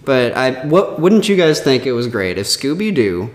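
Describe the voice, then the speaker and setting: A man chatting casually as a podcast co-host, halting and rephrasing as he thinks aloud, in a very echoey space. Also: mid-range voice, very animated. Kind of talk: casual chat. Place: echo-heavy indoor room. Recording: cavernous echo, long reverb.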